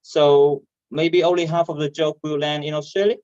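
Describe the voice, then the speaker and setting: A man from Vietnam with a Vietnamese accent and a stutter, performing stand-up comedy haltingly over a video call. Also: on the high side, flat delivery, darkish tone, clear, resonant tone, no echo. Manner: hesitant, halting delivery with repeated words. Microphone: video call microphone. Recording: dull, muffled online call audio.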